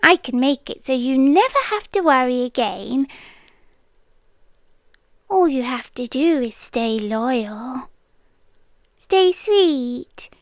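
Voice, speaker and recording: A possessive British yandere landlady acted by a woman in an intimate ASMR roleplay. Her high, soft, breathy voice moves very slowly and often slips toward a whisper. She coaxes with quiet menace, very close and dry on the mic. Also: animated, no room sound, exaggerated voice. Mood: coaxing, possessive. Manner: slow, intimate, coaxing, possessive. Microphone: close, dry microphone.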